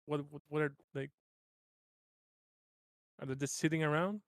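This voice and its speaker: A man's voice: on the high side, fairly even intonation, a little slow, no echo, clean studio sound. A man chatting casually and bluntly as a player in a tabletop roleplaying game. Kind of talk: casual, sweary game chat.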